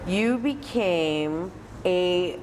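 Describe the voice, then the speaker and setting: A woman's voice: on the low side, lively intonation, extremely slow, a little mellow, slight background noise. An American woman hosting a conversational interview in a chatty, encouraging, affirming manner. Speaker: interview host.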